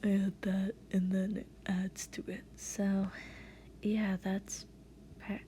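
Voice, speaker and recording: A woman's voice: mid-range voice, flat delivery, slow pace, warm tone, a little hiss or hum. A woman giving a casual, rambling voice tutorial as a voiceover, with a hesitant, giggly and self-deprecating manner. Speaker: online video creator giving a voice acting tutorial. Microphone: voice-over recorded to accompany a speed paint.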